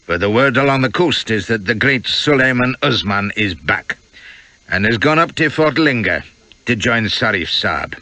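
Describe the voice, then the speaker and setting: A burly Scottish sea captain growls with dour, sardonic piety in a storybook reading. The pitch swings widely and the sound is forceful, close and dry. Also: male voice, animated, moderate pace, no room sound. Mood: grimly matter-of-fact.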